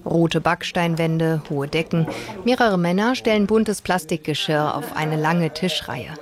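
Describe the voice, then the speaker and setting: A female radio reporter with a fairly low voice delivers reportage and interview questions. Her speech is composed as narration for broadcast, with clear crowd sound behind her. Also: a little slow.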